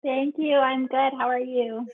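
A woman's voice: sits high, steady tone, unhurried, no room sound. A woman from Hawaii chatting casually as a podcast guest, heard over a thin, phone-like connection. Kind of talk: casual interview chat. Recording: thin phone-like call audio.

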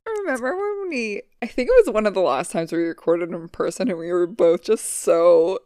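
A woman co-hosting a casual podcast, laid-back and quick to laugh. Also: mid-range voice, animated, dark tone, no echo, studio quality. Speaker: podcast co-host. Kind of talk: casual chat.

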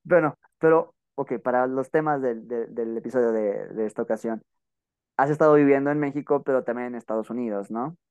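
A man's voice: mid-range voice, fairly quick, a little mellow, clear, resonant tone, muffled recording. Mexican man hosting a casual podcast. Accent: Mexican Spanish. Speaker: podcast host and interviewer. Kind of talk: casual podcast talk and interview.

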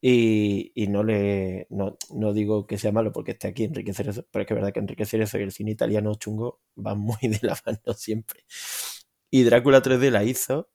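A man's voice: fairly low pitch, little pitch movement, a little fast, gravelly, dark tone. A man from Spain with a Spanish accent, co-hosting a film podcast with sarcastic, profane rants. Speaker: podcast co-host. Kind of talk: casual crude film-podcast banter.

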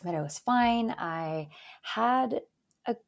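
A young woman from Albania raised in Canada, a native Albanian speaker, telling her life story as an interview guest in a calm, reflective, soft-spoken way. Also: a little high, slow, vocal fry. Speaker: guest, eating disorder advocate.

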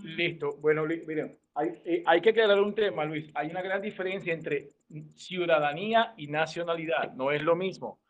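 A man's voice: a little high, moderate pace, dull audio, soft background music. A man speaking as a lawyer, giving an unscripted explanation in a formal, didactic manner.

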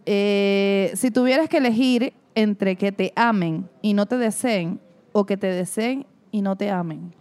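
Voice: mid-range voice, a little slow, darkish tone, clear, resonant tone. A speaker with a Venezuelan accent, chatting playfully in a casual question game, full of slang and teasing.

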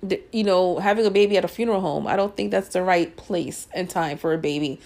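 A woman's voice: on the low side, brisk, darkish tone. A young woman of Guyanese and Puerto Rican family, hosting a podcast and telling a personal story in a casual, exasperated way full of fillers. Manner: conversational, frequent fillers, exasperated asides. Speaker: podcast host.

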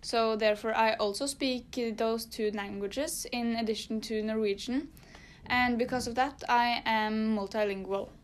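A woman's voice: fairly high pitch, fairly even intonation, normal speed. Young woman from Germany reading definitions. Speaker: podcast co-host.

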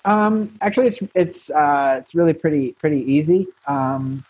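A man's voice: a little high, normal speed, darkish tone, breathy edge, no room sound, rough recording. An American man, a marketing consultant, talking frankly and rambling in a casual interview with many restarts and fillers.

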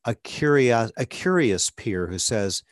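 A man's voice: mid-range voice, lively intonation, a little slow, dry recording, clean studio sound. A man hosting an interview, a speech clinician who speaks earnestly and advocates, with occasional stuttered blocks. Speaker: podcast host, speech clinician. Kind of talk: conversational interview.

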